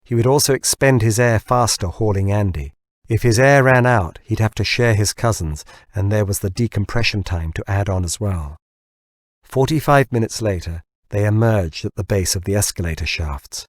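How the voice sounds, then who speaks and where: Male narrator, deep-pitched, rough-edged, scripted fiction reading, dry close sound. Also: moderate pace, no echo. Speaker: audiobook narrator.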